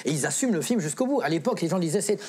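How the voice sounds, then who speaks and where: Female film columnist for a geek panel show: low, raspy-edged voice, casual and emphatic. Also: lively intonation, rapid-fire, dark tone. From of Italian origin. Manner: emphatic, repetitive, slangy. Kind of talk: animated chronicle in casual panel talk.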